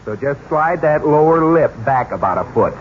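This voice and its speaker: An actor playing a bossy, exasperated American banker husband in a 1940s radio sitcom, scolding and emphatic. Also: male voice, on the high side, animated, moderate pace, close to an everyday voice. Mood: lecturing. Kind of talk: 1940s radio comedy acting. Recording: muffled, noisy old radio recording.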